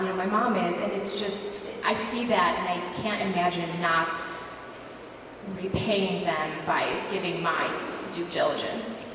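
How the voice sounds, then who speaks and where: A woman's voice: mid-range voice, distant mic. A woman born in the US whose first language was Polish, answering earnestly on a conference panel with many fillers. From United States. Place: large conference hall. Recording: echoey hall, mic from afar, noisy.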